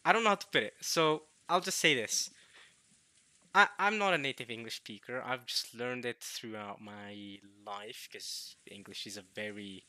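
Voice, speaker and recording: A young man from Norway, chatting casually to viewers in a halting way, restarting words often with chuckling self-deprecation. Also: mid-range voice, sharp tone, no room sound. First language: Norwegian. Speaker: visual novel let's player.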